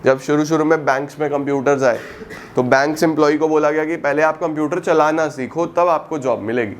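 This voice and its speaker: A man teaching an economics class. He keeps asking the students questions and answers them himself, mixes Hindi with English terms, stretches out key words slowly and calls his students "beta". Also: a little high, fairly even intonation, a little hiss or hum. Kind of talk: recorded classroom lecture.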